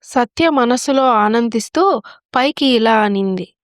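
High-voiced woman narrating a story. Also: lively intonation, measured pace, no room sound, clean studio sound. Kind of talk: scripted moral story narration.

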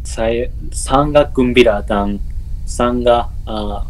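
A young man from Japan with a Japanese accent, giving halting interview answers in a second language with a smile. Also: medium pitch, low-quality recording. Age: young adult. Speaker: podcast guest. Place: indoor room, video call. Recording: clear background room noise.

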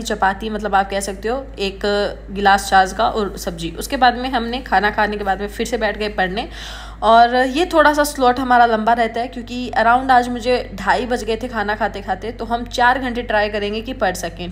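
A young woman in her twenties narrating her daily study and gym routine in a relaxed, chatty vlog voice-over, slipping English words into her talk. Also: a little high. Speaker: vlogger preparing for government exams. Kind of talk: day-in-the-life vlog voice-over. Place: recorded at home after the day.

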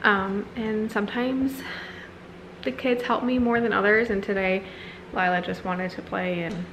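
A woman's voice: mid-range voice, fairly even intonation, measured pace, a bit bright, a little hiss or hum, low-quality recording. A woman in her thirties chatting casually, as a vlogger does while showing viewers around at home. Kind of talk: casual chatty vlog talk. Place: indoors in a house living area.

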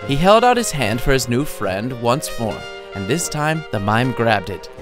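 A man's voice: medium pitch, sing-song, unhurried, over music. A man reading his own short story as scripted audiobook narration, with a wry, playful storytelling manner.

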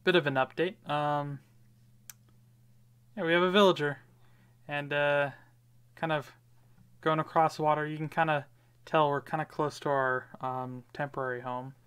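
A man's voice: fairly high pitch, fairly even intonation, a little slow, pauses often, fairly bright tone, clean tone. A man giving casual, rambling commentary over his gameplay, with lots of fillers. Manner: rambling, many fillers, upbeat. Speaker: gaming video creator.

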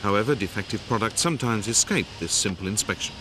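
A man giving scripted, measured narration for a factory training film, recorded as a studio voice-over. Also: on the low side, expressive, background noise. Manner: measured, explanatory. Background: background music.

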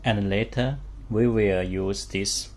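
A man giving a hands-on instructional demonstration as a product demonstrator, speaking haltingly in short phrases in a small room. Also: on the low side. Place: small indoor room with a work table. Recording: steady background room noise.

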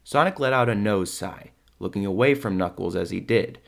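A man's voice: lowish voice, moderate pace, gravelly. A man giving scripted storytelling narration for an audio drama.

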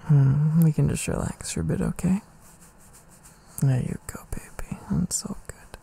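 A man doing an intimate boyfriend ASMR roleplay, affectionate and soothing, with a soft breathy voice that often drops to a whisper, close on the mic. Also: a little high, unhurried. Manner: affectionate, soothing, murmuring with hums. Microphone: close microphone.

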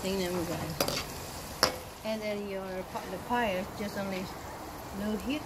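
Filipina host in her forties, casual and chatty, filmed outdoors on a camera mic, with clear background noise. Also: mid-range voice, unhurried. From Philippines. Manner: chatty, switches between English and Tagalog. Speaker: cooking vlog host. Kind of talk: casual cooking demo. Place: small back garden, outdoors. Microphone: camera a few feet away.

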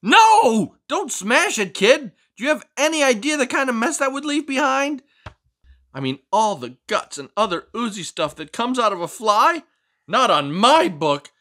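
A man voicing a fussy, excitable, melodramatic neat-freak frog in a storybook read-aloud, full of exasperated exclamations. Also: very high voice, moderate pace, full character voice. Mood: frantic, disgusted.

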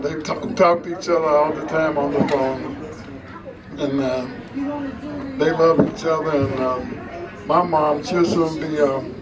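An older man giving an informal, reminiscing tribute in a halting, repetitive way. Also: a little high, steady tone. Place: large banquet room with windows. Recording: distant mic in crowded hall. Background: loud party crowd.

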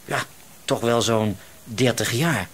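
An actor playing an eccentric, misanthropic middle-aged shopkeeper in a radio drama, musing to himself in a rambling, gloating way. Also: male voice, medium pitch, slow pace, heavy background noise, close to an everyday voice. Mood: dreamy musing.

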